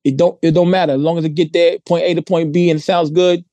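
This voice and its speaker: A man hosting a podcast, telling stories in a slangy, animated, casual way. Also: a little high, fast, dry recording. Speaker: podcast host.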